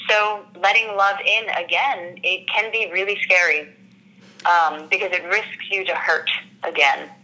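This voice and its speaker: An actress in an interview, talking through her character in an earnest, reflective way and often pausing to search for words. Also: on the low side, fairly even intonation, sharp tone, poor audio. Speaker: actress discussing her role. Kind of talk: interview answers.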